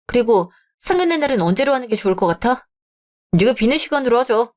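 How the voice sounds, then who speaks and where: A young fiancé, voiced by a performer in a radio drama, caught between his mother and his bride. He sounds apologetic and eager to smooth things over. He talks in a soft, conciliatory way. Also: extremely high pitch, lively intonation, fast.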